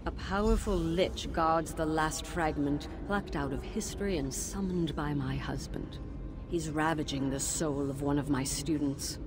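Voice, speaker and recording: An actress playing the resigned ghost of a school headmistress in a video game, speaking in a calm, measured way. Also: female voice, deep voice, noisy background. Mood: sorrowful. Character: ghostly female school headmistress, weary and devoted. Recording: game audio with loud music.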